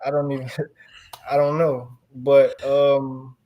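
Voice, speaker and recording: A relaxed young man with a Chicago accent chats through an interview, trailing off and restarting his thoughts. His voice sits at an ordinary middle pitch for a man. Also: slow, highs cut off. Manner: relaxed, filler-heavy, chuckles often. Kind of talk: casual interview.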